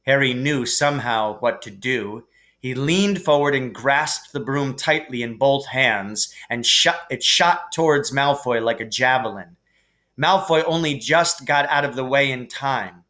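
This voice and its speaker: A man reading a children's novel aloud as expressive story narration, like a teacher reading to a class. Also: medium pitch, measured pace.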